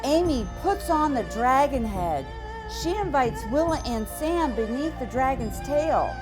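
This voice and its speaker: Woman reader for children's story, high lively voice, unhurried, clear music behind. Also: poor audio. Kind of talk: scripted children's story narration.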